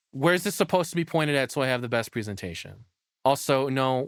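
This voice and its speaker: A man streams his reactions in a casual, off-the-cuff way. His middle-pitched voice sounds dry and close, and he rambles through blunt, profanity-laced opinions. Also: no echo.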